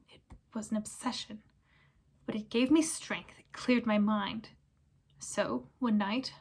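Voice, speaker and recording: Lady reading as a fierce gaunt ex-prisoner guy, harsh and croaky, intense, bitter, storybook character. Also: a little high. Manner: intense, forceful.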